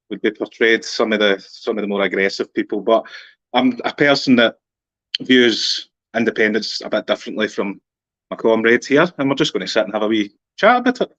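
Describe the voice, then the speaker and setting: A man in his thirties with a Glaswegian accent, a guest in a relaxed conversation who laughs easily. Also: mid-range voice, no room sound. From Glasgow area, Scotland. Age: 30s. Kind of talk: casual conversation. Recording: dull, muffled call audio.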